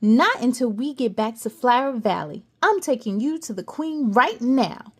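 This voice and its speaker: A stern, no-nonsense tooth fairy from a children's storybook, as a woman reader acts her out. She scolds sharply and gives firm orders, her voice pitched high with lively swings. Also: moderate pace, slightly rough. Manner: scolding, strict.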